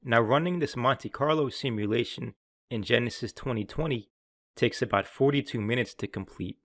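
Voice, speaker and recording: A man's voice: mid-range voice, moderate pace, a bit bright, no room sound. Man narrating a tutorial, dry close sound. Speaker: presenter of a software tutorial. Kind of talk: scripted instructional narration.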